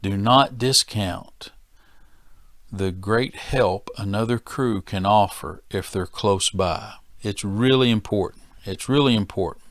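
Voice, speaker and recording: A man's voice: fairly low pitch, slow, dry recording. American man teaching, dry close sound. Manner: emphatic, repeats key phrases for stress. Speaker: safety training instructor. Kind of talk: instructional training talk.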